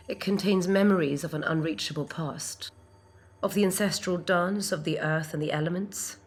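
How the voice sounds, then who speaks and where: A woman playing a solemn, poetic philosopher heroine in a dramatic monologue, deliberate and hushed with portentous pauses. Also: lowish voice. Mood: reverent. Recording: faint background noise and ambience.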